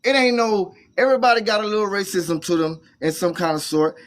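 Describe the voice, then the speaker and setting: A man's voice: sits high, animated, brisk. A man in his forties, born in the US, talking in a rambling way with lots of fillers in casual chat. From Haitian American, born in the US.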